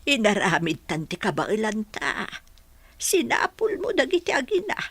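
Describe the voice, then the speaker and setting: An actress as a devoted old Ilocano wife in a radio play, husky and unhurried. She consoles with a lilting, expressive voice in a dry studio sound. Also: on the high side, no echo, full character voice. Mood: consoling. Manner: slow, consoling. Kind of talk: Ilocano radio drama acting.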